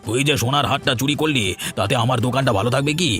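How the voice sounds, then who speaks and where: A man's voice: medium pitch, very fast, bright, forceful tone, natural voice. A man voicing an angry, bullying goldsmith boss in a cartoon, scolding and accusing in a blustering way. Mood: furious, accusing. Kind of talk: animated cartoon dialogue. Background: music.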